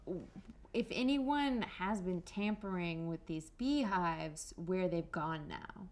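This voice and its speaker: A woman's voice: lowish voice, animated, slow pace. A woman playing a tabletop game, casually improvising and thinking aloud in a tentative way.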